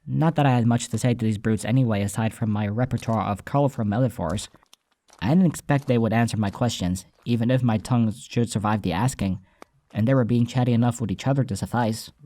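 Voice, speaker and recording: A man's voice: medium pitch, a little fast, dark tone, no echo. A man reading a scripted first-person story as an audiobook narrator, with soundtrack music behind him.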